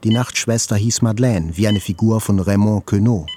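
An actor playing a wounded journalist, narrating his hospital recovery in a measured, reflective literary style. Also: lowish voice, background noise, natural voice. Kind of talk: scripted literary narration, radio play.

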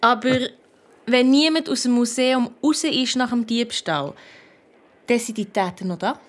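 A woman's voice: on the high side, a little slow, lightly acted. A female performer with a Swiss German accent playing a bold, suspicious young girl detective in a children's radio play. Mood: accusing. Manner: blunt, questioning.

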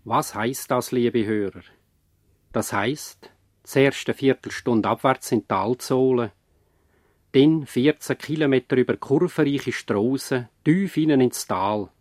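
A man narrating a scripted radio drama, measured and reflective, with a Swiss German accent. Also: medium pitch, no room sound, clean studio sound. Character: reflective male narrator of a country-doctor story.

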